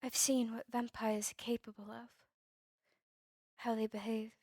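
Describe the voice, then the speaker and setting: A woman playing a melancholy, courteous vampire in an ASMR roleplay drama, with formal, old-fashioned, measured phrasing. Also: fairly high pitch, little pitch movement, a little mellow, dry recording. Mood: guarded. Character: melancholy, courteous female vampire, turned young over a century ago.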